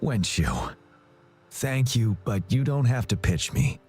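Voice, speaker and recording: An actor playing a dutiful, courteous young military officer in a video game, speaking politely and formally. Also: male voice, mid-range voice, very animated, takes it slowly, darkish tone.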